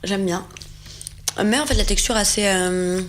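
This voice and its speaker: A woman's voice: medium pitch, normal speed, slight background noise. A young woman co-hosting a food segment, chatting casually and enthusiastically while tasting, with many hesitations. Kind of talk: casual tasting chat on a show set.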